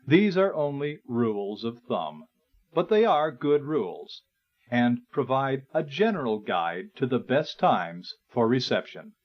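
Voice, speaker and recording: A man reading a scripted instructional narration in a measured, explanatory way. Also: mid-range voice. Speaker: narrator and presenter. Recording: dull, lo-fi 1980s recording.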